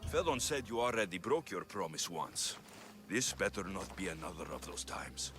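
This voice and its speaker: Low-voiced, blunt mercenary hero. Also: male voice, sing-song, normal speed. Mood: suspicious, threatening. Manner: blunt, terse, dry. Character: gruff, sardonic young male Greek mercenary hero. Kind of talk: video game cutscene acting. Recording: music behind.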